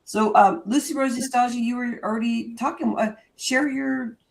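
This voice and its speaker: Woman, 50s, panel host; enthusiastic, halting, filler-heavy. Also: a little high, steady tone, gravelly. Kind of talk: hosting a panel conversation.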